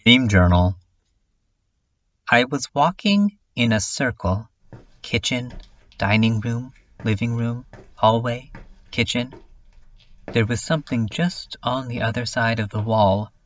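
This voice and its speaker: A voice narrating a fan dub in a slow, flat, drifting monologue, with a soft, hushed delivery. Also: medium pitch, lo-fi sound. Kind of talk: slow monologue read over music. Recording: distant voice under loud music.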